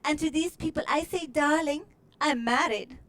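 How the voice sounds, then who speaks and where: A haughty new-age diva guru for character comedy; high register, unplaceable foreign-style accent, grand and easily irritated, music underneath. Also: female voice, moderate pace, a bit bright. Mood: boastful, haughty. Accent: put-on, hard to place. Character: vain, snippy new-age diva guru, self-styled spiritual supermodel. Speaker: comedy performer.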